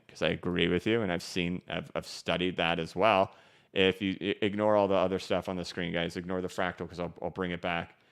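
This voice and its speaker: Low smooth-voiced dude on a live stream, rambly and chill, lots of likes and restarts. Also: male voice, fairly bright tone. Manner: casual, rambling, many restarts and fillers. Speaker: crypto livestream host. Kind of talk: live-stream chat reading super chats. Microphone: studio microphone.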